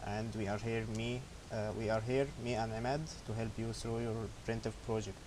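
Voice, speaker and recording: A man's voice: lowish voice, little pitch movement, moderate pace, background noise. A young man from Egypt co-presenting an online coding session, friendly and a bit hesitant. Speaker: learning ambassador, co-presenter. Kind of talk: casual online tutorial talk.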